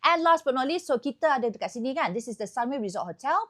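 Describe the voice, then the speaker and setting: A woman's voice: high voice, animated, very fast, a bit bright. A woman with a Malaysian accent hosting a chatty, upbeat promotional tour of a hotel room indoors. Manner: chatty, upbeat sales patter. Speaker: host.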